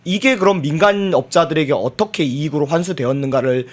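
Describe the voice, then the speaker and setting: A man's voice: fairly high pitch, a little fast. A man with a cold giving analytical political commentary as a guest, explaining his argument emphatically. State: has a cold affecting the voice. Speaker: guest political commentator, former party spokesman.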